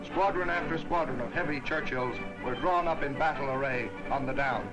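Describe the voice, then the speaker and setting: A man narrating a wartime newsreel in a brisk, stirring announcer's delivery. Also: mid-range voice, poor audio. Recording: old newsreel soundtrack with loud music.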